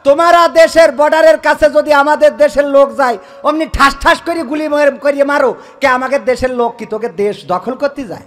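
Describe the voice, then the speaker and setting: A man's voice: very high-pitched, fairly even intonation, fairly quick, few pauses, a bit bright. A man performing a comic skit in a colloquial, indignant, scolding manner. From Bangladesh. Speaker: comedian. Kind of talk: comic skit with mock phone call.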